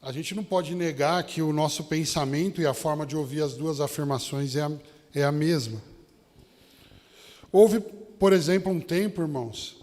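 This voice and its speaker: A male Baptist pastor with a São Paulo Brazilian accent delivers a sermon in a fairly high voice. He speaks straight to his listeners, posing rhetorical questions, and his words carry the long reverb of a hall. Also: normal speed, a little mellow. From São Paulo state, Brazil. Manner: rhetorical questions, addressing the congregation directly. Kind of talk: sermon with personal anecdotes.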